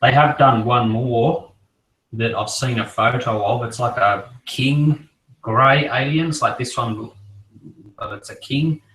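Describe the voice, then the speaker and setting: A man from Australia with a croaky voice from a cold, chatting casually as co-host about his collection. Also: mid-range voice, takes it slowly, reverberant, recorded from a distance, rough recording. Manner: relaxed, rambling collector talk. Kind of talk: casual chat.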